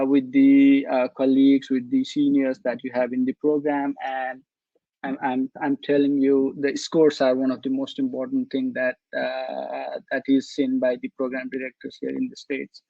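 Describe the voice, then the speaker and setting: A man's voice: medium pitch, level tone, measured pace, gravelly, mellow, no room sound, muffled recording. A man, speaking as a doctor on a webinar panel, giving earnest advice and often repeating words as he searches for them. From Nepal. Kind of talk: casual advice talk.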